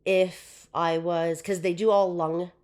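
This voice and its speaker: Hoarse woman, chatty. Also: on the low side, little pitch movement, takes it slowly, darkish tone. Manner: chatty, with frequent fillers and laughs. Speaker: podcast guest. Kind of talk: casual interview chat.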